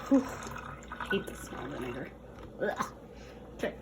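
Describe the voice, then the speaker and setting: A woman's voice: deep voice, big pitch swings, normal speed, vocal fry. A woman presenting for children in a read-aloud and casual demonstration style, with a noisy recording. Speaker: storytime presenter.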